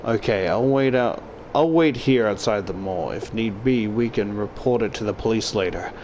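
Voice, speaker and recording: A man voicing a gentle, caring spirit girl with a touch of sadness for a visual novel, over music. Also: fairly low pitch, normal speed, slight background noise, natural voice. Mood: calm, reassuring.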